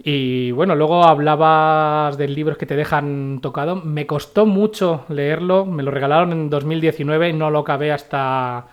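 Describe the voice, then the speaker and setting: A man's voice: a little high, steady tone, normal speed, few pauses, clean tone. A man co-hosting a radio talk show, chatting in a rambling way about books he has read this year. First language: not Catalan. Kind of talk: casual radio chat reviewing books.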